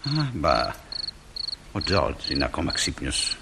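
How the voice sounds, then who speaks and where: Middle-aged small-town husband, radio drama: reasoning, mildly grumpy, animated intonation, noisy recording. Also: male voice, very deep voice, gravelly, heavily acted. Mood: weary, reasoning. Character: grumbling but kindly small-town doctor husband. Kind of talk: radio theatre acting.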